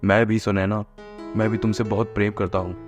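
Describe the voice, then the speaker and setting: Man acting a low-voiced, earnest young brother. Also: level tone, fairly quick, soft background music, natural voice. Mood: earnest, affectionate. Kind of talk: Hindi cartoon story dialogue.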